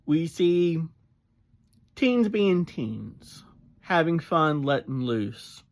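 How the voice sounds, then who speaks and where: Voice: mid-range voice, sing-song, drawn-out words. A fan in their thirties or forties giving a casual, chatty spoken review, laughing now and then.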